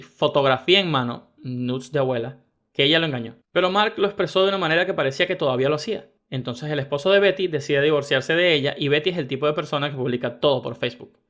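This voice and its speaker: A man narrating first-person internet stories in a brisk, even reading style. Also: on the high side. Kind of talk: scripted story narration.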